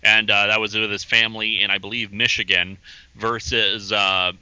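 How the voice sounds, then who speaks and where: A man chats as a guest on a podcast, rambling easily from one thought to the next with small chuckles. His voice is very bright, smooth and crisp. The audio is dry and slightly dull and lo-fi. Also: on the low side, level tone, normal speed, no echo. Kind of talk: casual podcast chat.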